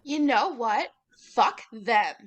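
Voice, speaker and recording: A woman's voice: on the high side, expressive, takes it slowly, a bit bright, exaggerated voice. A young woman playing a brash, foul-mouthed sorority sister in a dramatic reading, crude and emphatic.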